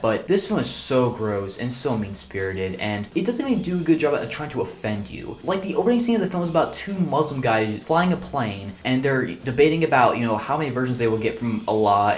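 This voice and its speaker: Fast-talking ranting male movie reviewer. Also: medium pitch. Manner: exasperated, ranting, fast and chatty. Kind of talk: casual ranking commentary.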